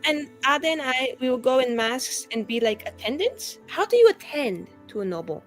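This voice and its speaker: Woman playing a lively, chatty young female mage. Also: high-pitched, normal speed, soft background music. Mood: planning. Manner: casual, filler-heavy, animated.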